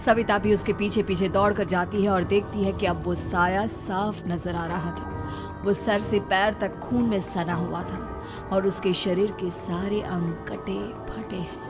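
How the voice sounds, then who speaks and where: A woman's voice: mid-range voice, fairly even intonation, measured pace. A woman reading scripted horror story narration as the storyteller. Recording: music playing behind narration.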